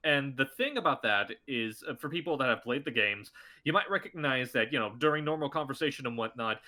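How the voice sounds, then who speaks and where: Guy voice actor on a podcast, bright tense tone, rambles with lots of likes and ums. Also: medium pitch, a little fast. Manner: rambling, filler-heavy storytelling. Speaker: voice actor guest. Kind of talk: casual podcast chat.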